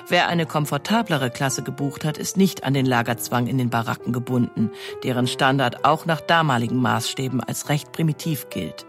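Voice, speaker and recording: A woman's voice: very deep voice, normal speed, few pauses, soft background music. A woman reads scripted documentary narration as a narrator, in pronunciation.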